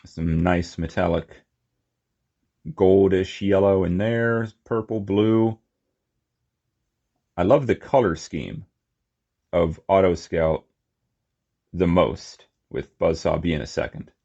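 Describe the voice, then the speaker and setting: A man's voice: low voice, slow, gravelly, pauses often, warm tone, highs cut off. A man giving a casual, unscripted hands-on toy review, rambling and murmuring to himself as he goes. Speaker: toy collector reviewing figures.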